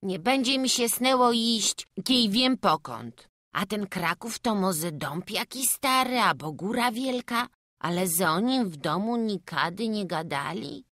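A woman's voice: mid-range voice, animated, unhurried, a bit bright, dry recording, clean studio sound. A woman voicing a small, humble and wary peasant boy who speaks in rustic village dialect, in an audiobook. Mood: musing. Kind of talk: audiobook acting.

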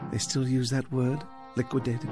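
An actor in a radio drama playing a bookish condemned citizen, wry and defiant, speaking in a measured, theatrical, literary way. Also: male voice, mid-range voice, flat delivery, over music, lightly acted.

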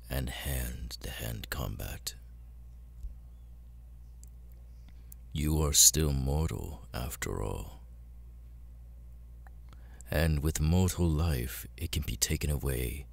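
A man voicing a cold, arrogant immortal demon lord in an ASMR roleplay, speaking with deliberate, condescending menace. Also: no echo, exaggerated voice. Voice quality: very deep, gravelly, husky. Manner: slow, deliberate, condescending, with long pauses.

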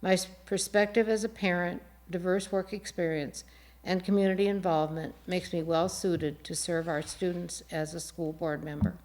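Low-pitched woman moderating a formal forum. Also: flat delivery, moderate pace, a little mellow. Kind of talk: reading a prepared statement and moderating a formal forum. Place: TV studio.

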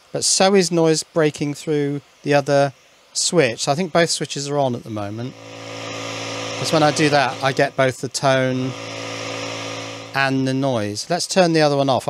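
A man's voice: fairly high pitch, background noise. A man giving casual workbench commentary as an electronics hobbyist, thinking aloud and questioning himself as he goes. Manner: musing, self-questioning, muttering asides. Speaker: electronics hobbyist video maker. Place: indoors at a workbench.

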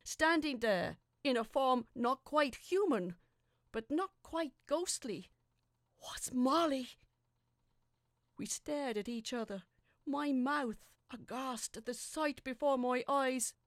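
A woman reading scripted first-person fiction as a dramatic narrator, with a deliberate, suspenseful, theatrical delivery. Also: sits high, lively intonation, dry recording, studio quality.

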